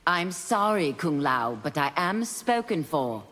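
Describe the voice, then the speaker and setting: An actress playing a confident, playful young woman fighter in a video game, teasing, with reverb and loud noise. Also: low voice, sing-song, unhurried, exaggerated voice. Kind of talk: video game character dialogue.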